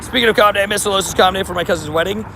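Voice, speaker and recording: Man, streamer, slightly hoarse, gravelly and fairly high voice, chatty, faint street noise. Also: very fast, sharp tone. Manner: chatty, reads out and answers chat. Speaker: livestream host. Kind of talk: casual live-stream chat. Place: outdoors by a street with traffic.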